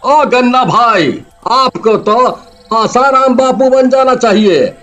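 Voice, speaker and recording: A man's voice: very high voice, takes it slowly, a bit bright, faint music behind, voice pushed up, heavily acted. A man voicing a brash, bossy street tough in a cartoon, teasing in slangy talk. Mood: mocking. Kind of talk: Hindi cartoon voice acting.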